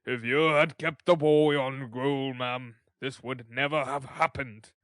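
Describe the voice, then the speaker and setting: A man's voice: medium pitch, fairly even intonation, a little slow, a bit bright, no room sound, studio quality, natural voice. A man voicing a pompous, stern parish beadle in a storybook reading, declaiming with self-important emphasis. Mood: stern, indignant.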